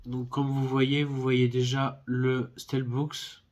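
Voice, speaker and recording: A man in the middle of a casual unboxing, his voice thick and stuffy from a head cold. He speaks at a medium pitch, friendly but halting, and often stops to search for the right word. His tone stays easygoing and chatty throughout. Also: completely flat delivery, darkish tone. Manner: hesitant, lots of filler sounds, friendly. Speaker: film collector YouTuber.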